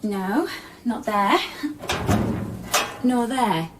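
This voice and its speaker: An actress in a radio drama playing a forgetful, clinging apparition of a man's lover, hushed and childlike, asking short, searching questions. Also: on the high side, animated, extremely slow, very noisy. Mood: confused, searching. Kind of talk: intimate radio drama acting.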